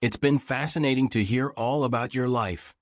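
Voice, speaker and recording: A man's voice: mid-range voice, moderate pace, no echo, studio quality, natural voice. A man voicing an eager, curious young woman who hopes to write, speaking politely and inquisitively in audiobook dialogue. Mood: grateful.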